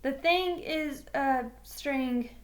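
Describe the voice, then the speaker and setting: Storybook eighth-grade boy, female reader, high voice, hesitant, evasive, uneasy. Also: very slow. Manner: hesitant, picks words carefully. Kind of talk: storybook character voice.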